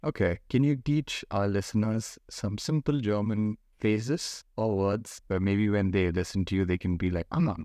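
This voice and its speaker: A man's voice: fairly low pitch, normal speed, hardly any pauses, dark tone, dry recording, clean studio sound. A young man with an Indian accent hosting a casual podcast chat, playful and teasing. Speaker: podcast host.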